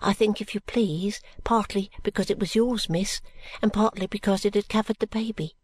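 Woman doing Charley, a soft-spoken little maid. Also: fairly even intonation, moderate pace, husky, no room sound, lightly acted. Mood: deferential. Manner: soft, low and polite. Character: small, earnest young maid, deferential.

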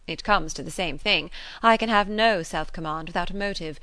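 Woman reading as a lively, impudent young gentleman. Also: fairly low pitch, moderate pace, clear, resonant tone, no echo. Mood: ardent, impudent. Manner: teasing, theatrical, mock-formal.

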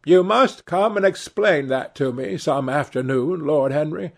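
A man voicing a gracious society lady in a storybook reading, soft and murmuring. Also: fairly high pitch, measured pace, creaky, voice pushed up.

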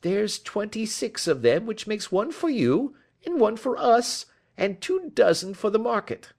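A man's voice: high-pitched, big pitch swings, a little slow, creaky, darkish tone, heavily acted. Brief: male reader as a plain-spoken, faintly huffy sister. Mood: matter-of-fact. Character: homely, slightly huffy woman, the thief's sister.

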